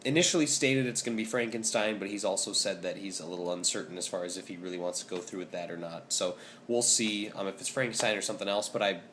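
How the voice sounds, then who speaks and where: Young man, twenties, low and hoarse-voiced, casual vlogger full of filler words. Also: steady tone, quick pace, a bit bright, a little hiss or hum. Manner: rambling, many ums and restarts. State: hoarse, scratchy voice. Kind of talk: casual vlog update.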